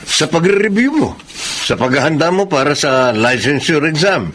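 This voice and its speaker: Higher-voiced Filipino big brother. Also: male voice, lively intonation, normal speed, fairly bright tone. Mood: generous, encouraging. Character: generous, responsible young adult eldest brother. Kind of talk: Filipino radio drama acting.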